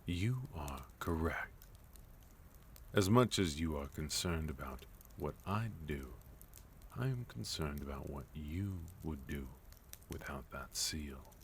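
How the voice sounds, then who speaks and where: A man playing a sophisticated villain leader in an ASMR roleplay, speaking formally and politely with measured pauses and quiet menace. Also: extremely low pitch, animated, slight background noise, full character voice. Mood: calmly guarded. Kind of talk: ASMR roleplay, intimate second-person acting.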